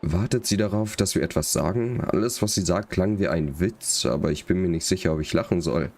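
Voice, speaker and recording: A man's voice: deep voice, brisk, a little mellow, slight background noise. A man giving casual live commentary on a video game as a streamer, in wry asides. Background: game dialogue and faint music.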